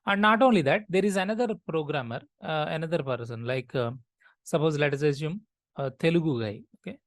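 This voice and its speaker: Woman, lecturing, patient repetition, low voice. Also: brisk, no room sound, studio quality. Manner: explains step by step, repeats key phrases. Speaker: programming teacher.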